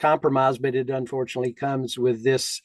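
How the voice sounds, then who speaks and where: Mid-pitched man interviewing, dry close sound. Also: little pitch movement, a little fast, no room sound. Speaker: interviewer, co-host. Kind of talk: conversational interviewing.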